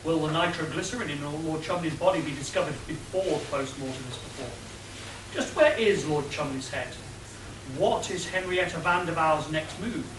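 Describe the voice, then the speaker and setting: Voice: medium pitch, expressive, a little fast, lightly acted. A performer playing the melodramatic narrator of a comic radio serial. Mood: suspenseful. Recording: echoey room, distant mic, noisy.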